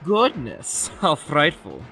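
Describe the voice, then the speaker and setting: A man's voice: on the high side, huge pitch swings, slow pace, gravelly, music in the background, exaggerated voice, low-quality recording. A man playing an arrogant, scheming villainous scientist in a comic dub, speaking in precise, wordy, pompous diction. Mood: smooth, deceitful. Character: arrogant, calculating villainous male doctor scientist, theatrical schemer.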